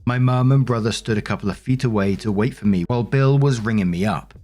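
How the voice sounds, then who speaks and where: A man's voice: mid-range voice, fast, darkish tone, faint music behind. A man hosting and narrating online stories, mixing scripted reading with casual spoken commentary.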